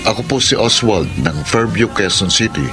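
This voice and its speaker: A man's voice: lowish voice, animated, normal speed, over loud music, natural voice. An actor playing a weary, exasperated young Filipino man fed up with his jealous girlfriend in a radio drama. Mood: weary, confessional. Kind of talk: Filipino radio drama acting and letter narration.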